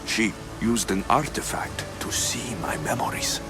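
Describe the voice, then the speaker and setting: An actor playing a stubborn, skeptical young Greek mercenary warrior in a video game, terse and forceful. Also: male voice, mid-range voice, measured pace, over music, close to an everyday voice. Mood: alarmed. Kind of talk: video game cutscene acting.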